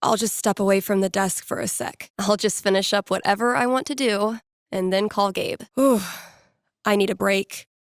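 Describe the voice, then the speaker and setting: A woman's voice: medium pitch, fairly even intonation, moderate pace, no room sound, lightly acted. A woman voicing a wry, laid-back young DJ in a video game, talking casually to herself with dry sarcasm. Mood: wry, musing. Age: young adult. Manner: dry, sardonic, conversational.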